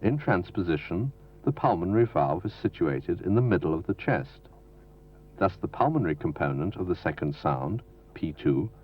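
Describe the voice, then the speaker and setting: A man giving a formal, measured, didactic scripted narration for a medical training film. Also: low voice, a little hiss or hum. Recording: dull lo-fi 1970s film soundtrack from videotape.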